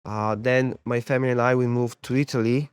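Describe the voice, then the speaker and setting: Casting: young man from Poland, guest therapist, smooth clear voice, dry close sound, easygoing talk. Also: mid-range voice, a little fast, no echo. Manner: relaxed, repeats words while finding them. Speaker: guest, sports therapist and MMA coach. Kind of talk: casual interview talk.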